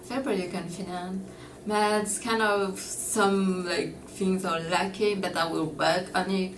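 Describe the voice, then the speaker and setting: Young woman with a flat, low voice. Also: takes it slowly. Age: young adult. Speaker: media production student. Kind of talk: documentary interview. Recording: clear background noise.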